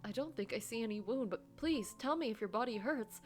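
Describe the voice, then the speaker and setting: Woman voicing a gentle, worried, apologetic man. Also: fairly high pitch, lively intonation, brisk, soft background music, lightly acted.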